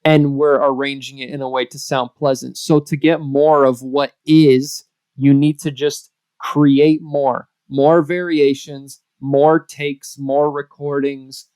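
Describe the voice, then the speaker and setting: A man co-hosting a podcast, making emphatic points in a playful casual chat, often rushing his words. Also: mid-range voice, level tone, a little mellow, smooth, dry recording. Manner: emphatic, speeds up in bursts, playful. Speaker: podcast co-host.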